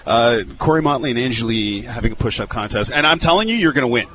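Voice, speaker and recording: A man's voice: mid-range voice, expressive, fast talker, pushed and bright. A male radio host chatting upbeat and casual in a live interview at an outdoor fairground. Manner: upbeat, chatty, repeats words.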